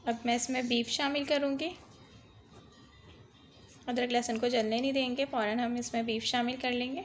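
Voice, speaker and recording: Cooking host, woman, high pitch, patient instructive voice-over. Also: fairly even intonation, moderate pace, soft background music. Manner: instructive, repeats "dekhiye" to guide viewers.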